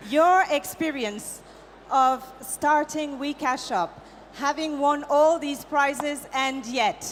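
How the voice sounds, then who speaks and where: A woman moderates a panel in a high voice and asks slow, deliberate questions. The sound rings with the reverb of a conference hall. A faint noise sits behind her.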